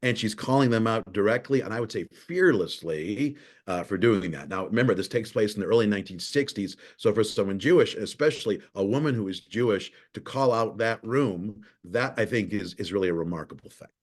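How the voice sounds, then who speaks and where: Brief: male professor, lecture delivery, low-ish voice, stumbles and restarts. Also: brisk. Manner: thoughtful, often repeats and restarts words.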